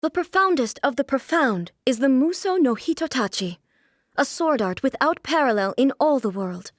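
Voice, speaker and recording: An actress voicing a solemn, aloof immortal shogun and swordswoman in a video game, with formal, measured, stately delivery. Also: high-pitched, expressive, no echo, studio quality. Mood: proud, instructive. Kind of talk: video game character voice lines.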